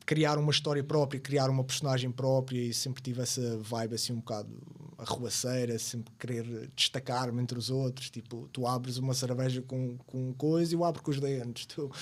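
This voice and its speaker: Man from madeira, laid-back storyteller, slangy, mid pitch. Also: vocal fry. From Madeira, Portugal. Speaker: podcast guest. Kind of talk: casual chat.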